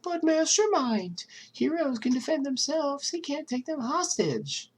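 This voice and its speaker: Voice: sits high, very animated, unhurried, voice pushed up. A reader voicing a young male villain strategist who taunts his audience with mock cheer and cold menace. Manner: mock-cheerful, taunting, turning deadly serious.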